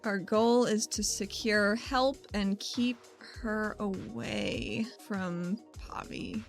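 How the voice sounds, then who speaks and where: A woman's voice: medium pitch, expressive, slow, soft background music. A woman playing a wry, stubborn adventurer in a tabletop game, talking in a casual, slangy way. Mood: determined.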